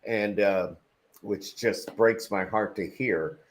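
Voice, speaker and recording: A man hosting a podcast interview, speaking slowly and rambling, with lots of small encouraging replies. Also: on the low side, fairly even intonation. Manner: slow, rambling, with many backchannels. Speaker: podcast host. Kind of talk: casual interview conversation.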